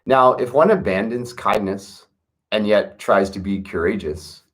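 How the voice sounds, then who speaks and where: A man with a fairly low voice reads slow, solemn verses aloud. He speaks in a measured, earnest way and leans into key words for emphasis. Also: fairly bright tone. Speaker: reader of a classic text. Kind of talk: solemn reading aloud with asides.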